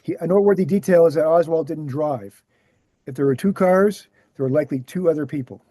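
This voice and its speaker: A man reading the scripted part of a measured author who answers questions at a talk, deliberate and explanatory. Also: on the high side, warm tone, no room sound, studio quality, natural voice. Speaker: actor in a screenplay table read. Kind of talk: scripted dialogue read aloud.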